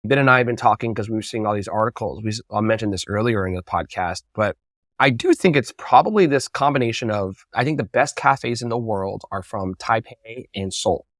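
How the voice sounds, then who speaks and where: A man being interviewed, telling casual anecdotes and acting out quotes with animated energy. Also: on the low side, brisk, no room sound, studio quality. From United States. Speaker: interview guest, bubble tea entrepreneur.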